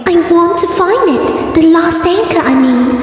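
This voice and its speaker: The cheeky kid sister in an undersea cartoon, played by a woman, teasing in a very high voice, echoing from a distance. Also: expressive, a little slow. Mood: casual, curious. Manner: casual, teasing, hesitant slangy talk. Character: flippant, curious younger sister sea-pony princess. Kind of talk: cartoon voice acting in a comic dub. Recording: echoey, distant from mic, music underneath.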